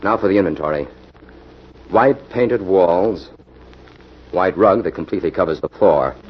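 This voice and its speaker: Low-voiced, composed scientist sleuth. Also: male voice, slightly rough. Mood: brisk, attentive. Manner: measured, dry, firm. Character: composed, dryly amused male scientist-sleuth. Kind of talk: 1940s radio mystery acting. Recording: muffled, noisy old radio recording.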